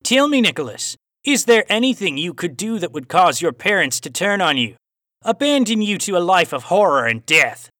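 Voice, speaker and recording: A theatrical phantom cowboy villain from an audiobook, Australian with a hint of twang. The voice is raised, bright and forceful, and very animated. He puts formal, taunting questions with deliberate menace. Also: high voice, dry recording, clean studio sound, pitched above the performer's own voice, exaggerated voice. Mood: sinister musing. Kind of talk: audiobook character voice.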